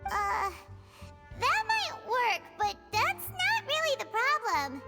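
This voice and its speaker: A woman voicing a tiny, excitable companion creature in a game, frank and quick to object. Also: extremely high pitch, heavily acted. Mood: doubtful. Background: loud game music.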